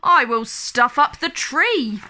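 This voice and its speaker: A woman voicing a sly, mean old male storybook creature, fake-sweet and gloating in a drawn-out way. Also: high-pitched, lively intonation, bright, forceful tone, exaggerated voice. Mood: gleeful scheming.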